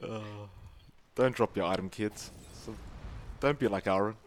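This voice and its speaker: A man with an Australian accent, casting a competitive game match with brisk play-by-play and playful teasing of his co-caster. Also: on the low side, slight background noise. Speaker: esports caster.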